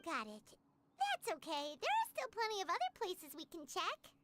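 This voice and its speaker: A woman's voice: very high voice, huge pitch swings, moderate pace, exaggerated voice. A woman voicing a small floating girl companion in a video game who speaks of herself in the third person, with game music behind. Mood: chirpy.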